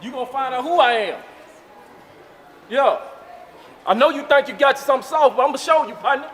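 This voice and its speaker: A man's voice: very high-pitched, expressive, a little fast, a bit bright. An American man arguing heatedly in a defiant, combative way. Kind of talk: heated argument. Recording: echoey large room, faint noise.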